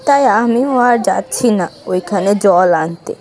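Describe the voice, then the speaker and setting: A woman's voice: mid-range voice, lively intonation, measured pace, darkish tone, soft background music, lightly acted. A woman voicing a cautious, superstitious middle-aged village mother in a cartoon, speaking confidingly. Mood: uneasy confiding. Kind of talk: cartoon voice acting.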